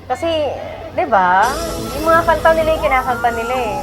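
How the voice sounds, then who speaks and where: Filipina lady with a high voice, casual fan reactions, loud music and crowd behind her. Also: lively intonation, fairly quick. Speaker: fan commentator. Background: concert music and cheering crowd.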